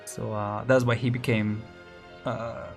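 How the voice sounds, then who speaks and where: A man's voice: on the low side, flat delivery, slow, creaky, faint music behind. A man chatting casually on a game stream, rambling with many fillers, restarts and small laughs.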